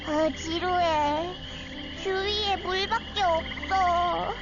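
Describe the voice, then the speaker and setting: Game role: curious, childlike little girl, sky-high slow delivery, dulled sound with loud music behind. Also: steady tone, muffled recording, exaggerated voice. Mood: bored, whiny. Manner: childlike, refers to self in third person. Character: Laby, naive cheerful curious forest-raised little girl. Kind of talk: game story voice acting.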